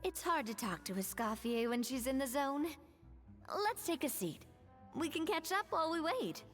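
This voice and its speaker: A woman voicing a friendly, composed young woman who explains things in a measured way in a video game. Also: sits high, big pitch swings, in a large hall, soft background music. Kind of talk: anime-style video game voice acting.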